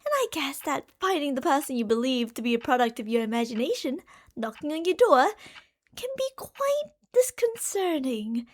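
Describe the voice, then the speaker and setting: A woman acts out an obsessive female stalker who is madly in love. Her voice is high, and she speaks straight to the listener in an ASMR roleplay. She coaxes and explains fondly, leaning on words theatrically. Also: sing-song, normal speed. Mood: teasing, assured. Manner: coaxing, explanatory, fond pet names, theatrical emphasis. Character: lovestruck obsessive female stalker from a parallel universe.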